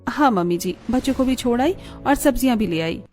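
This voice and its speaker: High-voiced thrifty young housewife acted by a woman. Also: expressive, brisk, darkish tone, faint music behind, lightly acted. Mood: polite, practical. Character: thrifty, firm Indian housewife and dutiful daughter-in-law. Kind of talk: cartoon family drama acting.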